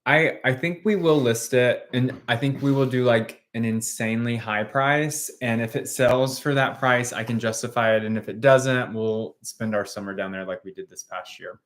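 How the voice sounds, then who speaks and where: A man in his late thirties co-hosting a casual live chat, answering viewer questions in measured, plain sentences. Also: medium pitch. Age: 30s. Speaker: co-host of a live chat stream. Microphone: phone front camera.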